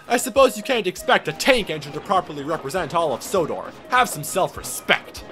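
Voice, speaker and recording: A man voicing a vain, excitable red steam engine in a storybook tale, huffy and boastful. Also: fairly high pitch, fairly quick, loud music behind the voice. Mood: indignant. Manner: indignant, boastful, flustered stammering.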